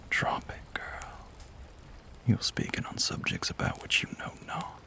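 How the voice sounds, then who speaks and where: Man acting a whispering, slow-drawling Southern gentleman vampire. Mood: dismissive, weary. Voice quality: breathy, whispery. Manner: slow, genteel, old-fashioned phrasing. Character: lonely, brooding Southern gentleman vampire, tormented and genteel. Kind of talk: intimate audio roleplay acting.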